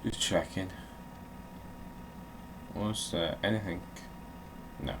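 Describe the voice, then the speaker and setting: A man's voice: low voice, slow pace. A man giving casual video game commentary, swearing and grumbling jokily as he plays. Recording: clearly noisy background.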